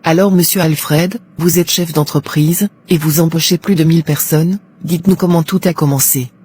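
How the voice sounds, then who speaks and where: A woman's voice: sits low, fairly even intonation, normal speed, mellow, slight background noise, natural voice. An actress playing a polite, probing female talk show presenter in a cartoon, formal and composed. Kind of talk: scripted broadcast interview in a cartoon.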